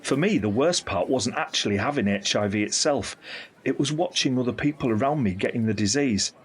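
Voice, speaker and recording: An actor playing a candid, caring middle-aged uncle in an audio drama, speaking in an earnest, reflective, explanatory way. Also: male voice, mid-range voice, lively intonation, brisk, breathy edge. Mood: sombre, heartfelt. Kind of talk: scripted audio drama dialogue.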